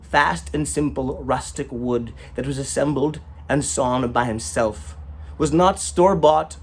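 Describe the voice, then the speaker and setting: A man reading a short story as its storyteller, in a theatrical, rhythmic manner with drawn-out emphasis and rhyming phrases. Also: fairly high pitch. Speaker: storyteller, author reading own work.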